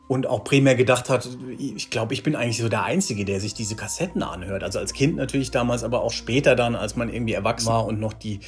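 Middle-aged man chatting in an interview. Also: medium pitch. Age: 40s. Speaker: audio drama writer, interviewee. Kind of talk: casual interview talk. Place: small bright room with bookshelves, indoors. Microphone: video call.

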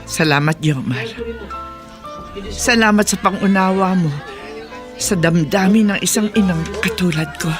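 A woman's voice: medium pitch, measured pace, long pauses, music in the background, lightly acted. Woman playing a grieving, resolute Filipino grandmother. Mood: contrite, tender. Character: grieving older grandmother, contrite yet firm and resolute. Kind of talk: Filipino radio drama acting.

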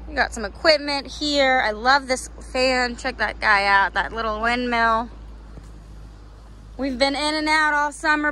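A woman's voice: a little high, a bit bright. A woman hosting a casual auction preview as she walks around outdoors, listing items in upbeat, folksy sales patter. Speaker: auction house host presenting a sale preview. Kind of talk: casual promotional walkthrough commentary. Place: outdoors in an open auction yard.

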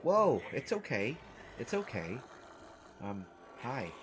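A man's voice: medium pitch, sing-song, slow pace, background noise. A man playing a shy, nervous survivor, speaking with constant stammers and restarts in a rambling, self-deprecating way. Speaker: audio roleplay performer. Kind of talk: scripted audio roleplay monologue.

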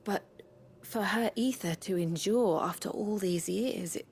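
A gentle young warrior woman of a fantasy video game , played by an actress. She speaks slowly and gravely in a hushed, measured way. Noticeable ambient noise sits behind her voice. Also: mid-range voice, close to an everyday voice. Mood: grave, wondering.

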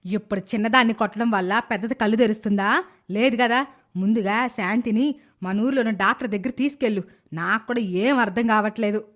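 A woman voicing a blunt, sharp-tongued, bossy village woman in an animated moral story. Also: mid-range voice, fairly quick, pitched below the performer's own voice, lightly acted. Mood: urgent, bossy. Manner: sharp-tongued rustic scolding.

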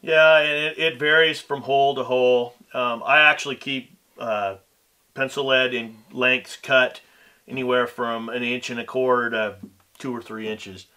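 A man in his thirties, a co-host, casually explaining tips in a relaxed, hesitant way. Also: medium pitch, measured pace, smooth. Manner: relaxed, hesitant with ums and restarts. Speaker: fishing pro staffer and co-host. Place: small studio set with backdrop.

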